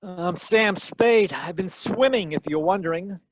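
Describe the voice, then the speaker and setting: A man playing a wry, deadpan hardboiled detective who narrates his case in 1940s radio style. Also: high voice, a little slow, no echo. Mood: dry. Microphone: close home mic on a video call.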